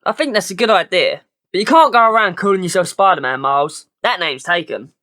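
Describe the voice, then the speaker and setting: A woman voicing a wry, reassuring young male superhero mentor with a measured manner in a children's storybook. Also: lowish voice, animated, fairly bright tone, pitched below the performer's own voice.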